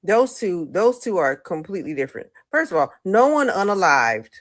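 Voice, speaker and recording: A woman in a response video, speaking in a measured, firm way. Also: medium pitch, big pitch swings, no room sound, clean studio sound. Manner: measured, firm, repeats "okay". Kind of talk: social media response video.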